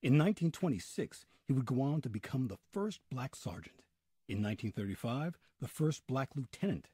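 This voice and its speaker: A man's voice: mid-range voice, animated, brisk, warm tone, slightly rough, no echo, studio quality. A man with an American accent narrating a scripted history documentary.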